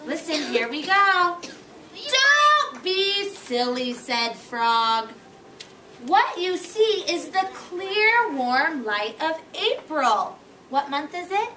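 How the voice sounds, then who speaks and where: A woman with a very high voice reads aloud to young children as their teacher. She speaks slowly, pausing to ask and repeat simple questions. The recording is echoey and distant, with clear noise in the classroom. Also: very animated, bright, forceful tone. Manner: slow, questioning, repeats and prompts. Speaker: early-grade teacher. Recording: echoey room, distant mic, noisy.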